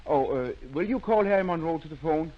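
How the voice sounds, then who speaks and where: An actor playing a kindly, shrewd middle-aged town doctor in an old radio drama, with a hesitant, gentle manner. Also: male voice, fairly high pitch, expressive, fairly quick, noisy background, close to an everyday voice. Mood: probing, genial. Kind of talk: 1930s-40s radio drama acting. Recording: dull lo-fi old radio recording.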